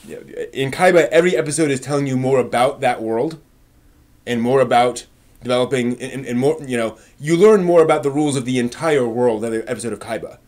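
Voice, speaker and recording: Male live stream host, mid-pitched, casual and opinionated, halting as he thinks through replies to chat. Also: a little mellow.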